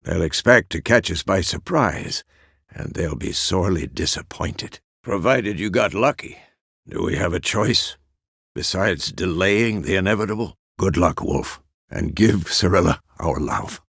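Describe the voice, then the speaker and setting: An aging monster hunter from a fantasy game, weary but steadfast. The voice is low and gravelly, with wide swings of pitch and a close, dry sound. He speaks in terse, measured phrases with a gruff fatherly authority. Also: animated, fairly bright tone, dry recording, exaggerated voice. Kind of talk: fantasy video game character dialogue.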